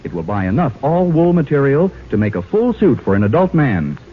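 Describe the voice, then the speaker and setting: Tinny vintage American radio announcer, animated man. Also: mid-range voice, husky. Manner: formal, earnest, measured announcer delivery. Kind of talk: 1940s-50s radio charity appeal and network announcement. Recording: tinny, narrow-band vintage radio recording.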